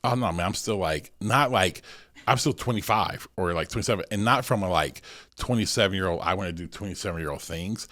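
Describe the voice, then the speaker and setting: Deep-voiced man in his forties. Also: fairly quick, a bit bright, no echo, clean studio sound. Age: 40s. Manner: loose and rambling, many "like"s and restarts. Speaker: podcast co-host. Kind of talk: casual conversational chat.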